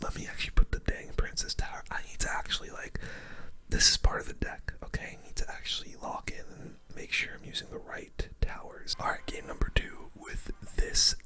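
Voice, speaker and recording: A person speaking in a breathy, unvoiced whisper, giving casual ASMR gameplay commentary with gamer slang. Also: fairly quick, pushed and bright. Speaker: gaming video host.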